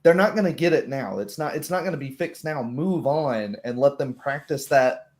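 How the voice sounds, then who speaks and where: A man's voice: mid-range voice, expressive, normal speed. A man speaking casually as a game master, explaining things through analogies and little acted-out examples. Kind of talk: casual chat.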